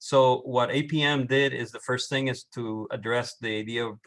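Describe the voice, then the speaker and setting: A middle-aged man with a Puerto Rican accent, an architect presenting on a panel, halting with many restarts and fillers. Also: mid-range voice, steady tone, fairly bright tone. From Puerto Rico.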